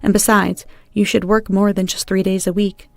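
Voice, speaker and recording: A woman's voice: mid-range voice, level tone, moderate pace, vocal fry, a little mellow, no room sound, close to an everyday voice. Stern, unforgiving wronged wife played by a woman. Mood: firm. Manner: stern, decisive.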